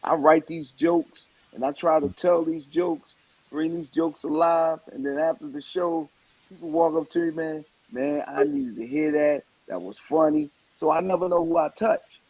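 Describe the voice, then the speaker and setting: A man chatting casually in an interview, rambling in an animated way and repeating words for emphasis. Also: fairly high pitch, normal speed, creaky, slightly rough, dry recording, low-quality recording. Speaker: stand-up comedian and stage play actor.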